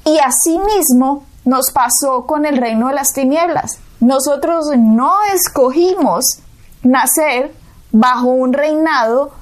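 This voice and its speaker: A woman's voice: high voice, slow. A Colombian woman co-hosting a Bible talk show, teaching emphatically and asking rhetorical questions. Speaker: co-host.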